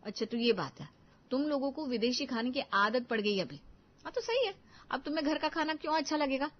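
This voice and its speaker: A stubborn cartoon housewife played by a woman narrator scolds and insists in a high voice. She is an argumentative young mother, heard on a slightly dull recording. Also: expressive, brisk. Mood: indignant scolding.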